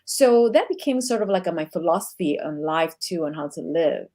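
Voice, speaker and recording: Mellow-voiced woman from Singapore. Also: on the low side, expressive, normal speed. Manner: reflective, searching for words. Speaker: guest. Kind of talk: casual conversation.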